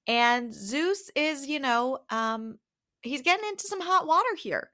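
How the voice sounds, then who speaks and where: A woman with a slightly high, very bright voice co-hosts a casual recap podcast. She is enthusiastic and slips into dramatized quotes as she chats. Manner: enthusiastic, quoting characters in a dramatized way. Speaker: podcast co-host. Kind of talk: casual, chatty episode recap.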